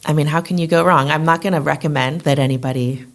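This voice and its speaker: Low-voiced woman chatting as a guest. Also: fairly even intonation, fast talker. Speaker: guest, plant shop owner. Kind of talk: casual interview chat.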